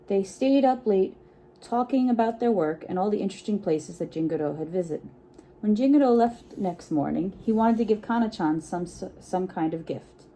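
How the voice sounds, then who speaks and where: A woman reading a picture book aloud to children in an expressive, encouraging way, with chatty asides and frequent check-in questions. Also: medium pitch, moderate pace, a little hiss or hum, low-quality recording. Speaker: children's storytime reader.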